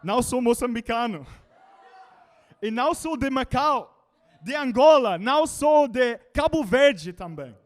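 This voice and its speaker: A man's voice: very high voice, measured pace. A British man, a native English speaker, preaching a sermon in learner Portuguese and calling out to the congregation. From Britain. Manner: animated, calls out to the congregation.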